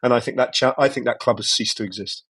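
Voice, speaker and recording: A man's voice: medium pitch, fast, a bit bright, husky. A man from Britain, a former football commentator, giving opinionated, rambling answers as a podcast guest. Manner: opinionated, digressive, many restarts and "you know". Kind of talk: casual conversational interview.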